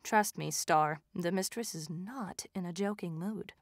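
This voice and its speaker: A woman's voice: lowish voice, a little slow, very noisy. A woman playing a loyal, wounded lieutenant who reports in a subdued, dutiful way.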